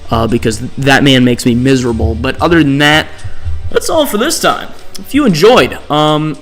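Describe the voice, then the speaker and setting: A man's voice: a little high, fairly bright tone. A man giving casual, unscripted ranking commentary as a video host, rambling conversationally and often checking in with the listener. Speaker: host of a video game tier list channel. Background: music under the voice.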